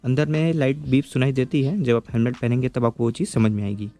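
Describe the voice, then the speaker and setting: A man's voice: medium pitch, steady tone, quick pace, mellow, soft background music. A man giving a casual, step-by-step product review, explaining patiently and checking in with the viewer as he goes.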